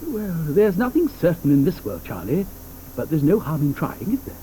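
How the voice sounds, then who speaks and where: An actor playing an eccentric, fussy male chocolate maker in an audio drama, talking theatrically with many hesitant pauses. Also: on the high side, huge pitch swings, warm tone, slight background noise, rough recording. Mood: hurried, eager. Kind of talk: 1980s audio drama acting. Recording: studio audio drama with music behind.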